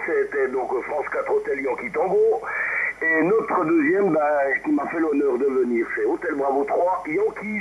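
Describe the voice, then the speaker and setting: A man chatting casually as he leads an amateur radio round, heard over a radio transmission. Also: high voice. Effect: two-way radio transmission. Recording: muffled, hissy amateur radio reception.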